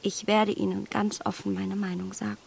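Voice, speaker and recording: A woman's voice: medium pitch, level tone, moderate pace, a little mellow, a little hiss or hum. An actress playing a well-bred, impulsive young English lady in a German radio play, polite on the surface and privately flustered. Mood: apologetic, sincere. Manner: polite, breathy asides to herself.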